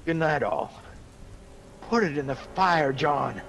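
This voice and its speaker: A man's voice: fairly high pitch, animated, takes it slowly, gravelly, a bit bright, heavily acted, low-quality recording. An actor playing a shaken, fearful male guest giving grave warnings in a radio drama. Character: agitated, fearful old soldier guest warning of a curse. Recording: faint background noise and ambience.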